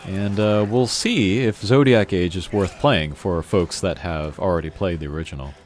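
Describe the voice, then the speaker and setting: A man's voice: sits low, normal speed, a little mellow. A man hosting a gaming channel, giving casual, rambling and hesitant playthrough commentary. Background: game music.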